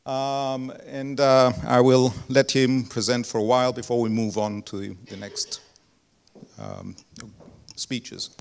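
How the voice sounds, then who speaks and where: A man's voice: medium pitch, flat delivery, takes it slowly, vocal fry, clear, resonant tone. A man directing a research center, giving opening remarks at an anniversary event, speaking English as a second language with many ums and uhs and self-deprecating humor. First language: not English (non-native speaker).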